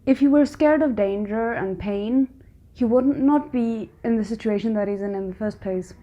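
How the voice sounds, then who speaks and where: A woman's voice: a little high, moderate pace, muffled recording. A woman giving casual, reflective reaction commentary with an analytical way of explaining things. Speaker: reaction video host.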